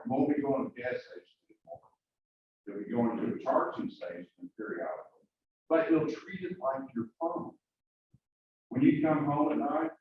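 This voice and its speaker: A man giving a folksy, loud, projecting talk to a live audience, asking the room questions. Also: medium pitch, slight background noise. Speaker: automotive industry speaker. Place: conference room. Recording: echoey room, from far off, dull lo-fi sound.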